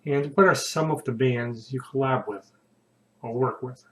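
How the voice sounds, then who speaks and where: A man's voice: mid-range voice, unhurried. A man reading out scripted interview questions as the interviewer.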